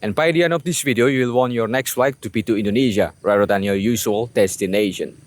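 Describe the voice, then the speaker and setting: A man's voice: on the low side, quick pace, no echo. A man hosting and asking questions in a street interview with travelers.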